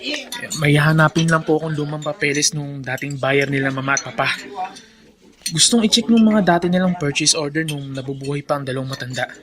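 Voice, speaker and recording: A man playing a cheerful, generous young hacienda owner in a radio drama, courteous and expressive, with a Filipino accent. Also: a little high, brisk, crowd noise, natural voice.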